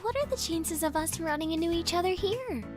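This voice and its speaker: A man's voice: very high voice, lively intonation, a little fast, pitched above the performer's own voice. A man voicing a petite young female student, sweet and playful, in a visual novel. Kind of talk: acted visual novel dialogue. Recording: music under the voice.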